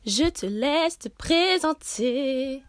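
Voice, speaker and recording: A woman's voice: sits high, expressive, extremely slow, no echo, clean studio sound. A young woman hosting a podcast interview, asking long, earnest questions with frequent fillers. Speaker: podcast host.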